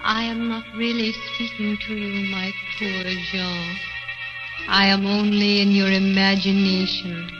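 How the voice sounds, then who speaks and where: A woman plays a tender, sorrowful wife heard as an imagined, comforting voice. She speaks very slowly and softly in old radio drama style, on a dull vintage recording over music. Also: mid-range voice, level tone, close to an everyday voice. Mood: tender, eerie calm. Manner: soft, measured, tender. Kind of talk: 1940s radio drama acting.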